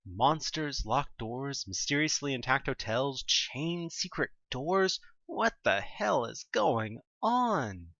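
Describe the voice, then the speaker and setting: Man narrating dramatically with sweeping, lively intonation. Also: medium pitch, takes it slowly, creaky, few pauses, no echo, muffled recording. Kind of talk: scripted second-person audio drama narration.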